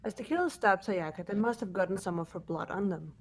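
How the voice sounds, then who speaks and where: A woman's voice: mid-range voice, quick pace, a little hiss or hum, lightly acted. A woman voicing a composed, incisive female student who explains evidence methodically in an amateur game dub. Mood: accusing, calm. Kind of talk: amateur voice acting in a visual novel playthrough.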